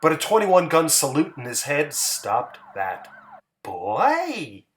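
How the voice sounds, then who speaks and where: A man's voice: on the high side, a little slow, a little hiss or hum. A man giving a dramatic, theatrical reading of a literary short story. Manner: expressive, theatrical. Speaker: story reader.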